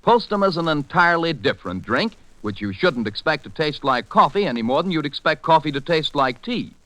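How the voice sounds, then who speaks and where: A man's voice: a little high, sing-song, fairly quick, a bit bright, no echo. A man announcing in a polished, emphatic 1940s radio style, reading scripted sponsor copy and introductions on a vintage broadcast.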